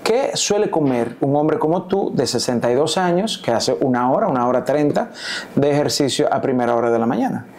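A man's voice: mid-range voice, expressive, fairly quick, darkish tone. A man in his thirties hosting an interview, asking short follow-up questions on a lapel mic. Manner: brief prompts and follow-up questions. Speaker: host. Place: indoor living room set.

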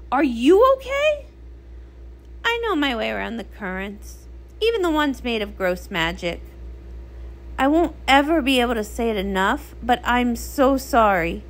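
Woman, voice performer, high pitch, lively intonation, dramatic comic read-along.

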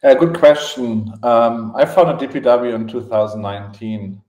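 A man with a German accent telling his story casually as a podcast guest, in halting phrases with repeated words. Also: medium pitch, fairly even intonation, a little mellow. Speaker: podcast guest, events entrepreneur. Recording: roomy, echoing podcast audio.

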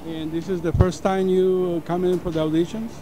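A man's voice: high voice, level tone, moderate pace, background noise. A man asking short street interview questions outdoors in non-native phrasing. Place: outdoors in an audition queue. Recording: loud music behind, outdoor ambience.

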